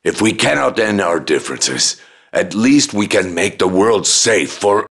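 A proud, stern Russian president in middle age for a dramatic video game. His low voice has a gravelly edge and a Russian accent. He speaks with measured, forceful weight, like a statesman who will not bend. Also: expressive, full character voice. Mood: solemn, statesmanlike. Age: middle-aged.

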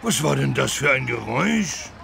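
A man voicing a big, good-natured, childlike talking elephant with a gravelly, naive, wondering delivery in a children's cartoon game. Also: medium pitch, big pitch swings, moderate pace. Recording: street traffic noise behind the voice.

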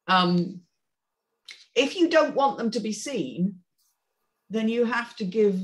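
A woman's voice: a little high, lively intonation, slow pace, low-quality recording. A middle-aged woman giving an informal spoken critique as panel host, direct, emphatic and explanatory, on a video call from home. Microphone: video call computer microphone.

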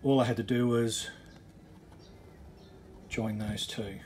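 A middle-aged man giving a casual, off-the-cuff DIY walkthrough, halting and rephrasing as he explains, recorded on a handheld phone. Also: fairly low pitch, steady tone. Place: on a boat. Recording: handheld phone recording, clear ambient noise.

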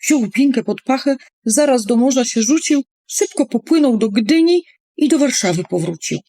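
A woman's voice: sits high, lively intonation, moderate pace, dark tone, no echo, studio quality. A woman storyteller reading a children's poem expressively, with playful, dramatic emphasis on words.

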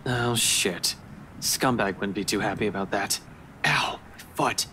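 A man's voice: lowish voice, measured pace, sharp tone, a little hiss or hum. An actor playing an irritable, grumbling young man who takes charge in a visual novel game.

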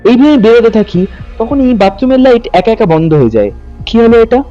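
A young man narrates a first-person ghost story in a suspenseful, conversational way, pausing for drama. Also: extremely high pitch, sing-song, dull audio. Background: background music.

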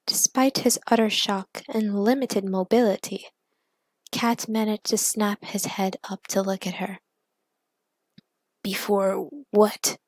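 Slow-paced woman narrating a story. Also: medium pitch, steady tone, dry recording. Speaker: narrator and writer of fan fiction.

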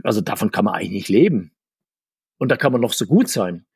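A man talking conversationally as an interview guest, talkative and often correcting himself, with a husky voice. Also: fairly low pitch, lively intonation, fast, a little mellow, dry recording, clean studio sound. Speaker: guest, comics author.

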